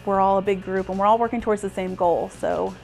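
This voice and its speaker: A woman's voice: mid-range voice, steady tone, fast, gravelly, a little mellow. A woman working as a police dispatcher, explaining her job in a documentary interview. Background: background music.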